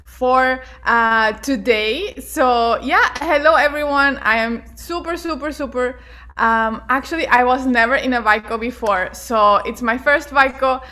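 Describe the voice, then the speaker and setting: A woman's voice: high-pitched, a little slow, sharp tone. A young woman from Portugal, giving an upbeat, bubbly presentation over a video call with many fillers. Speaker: keynote speaker.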